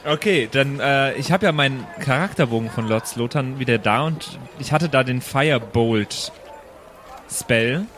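A man's voice: medium pitch, a little slow, a bit bright. A man playing an improvised tabletop game, chatting casually and explaining things in rambling detail. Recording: music under the voice. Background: music.

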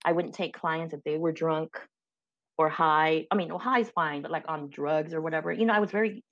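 A woman's voice: sits low, fairly even intonation, moderate pace, highs cut off. A woman comedian telling blunt stories as a podcast guest, giggling between lines. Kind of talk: candid comedic chat.

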